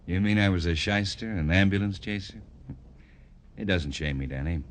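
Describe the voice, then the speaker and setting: Smooth self-made attorney, deep voice, urbane and unashamed, 1950s radio crime drama. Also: male voice, a little slow, slight background noise. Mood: suave, cynical.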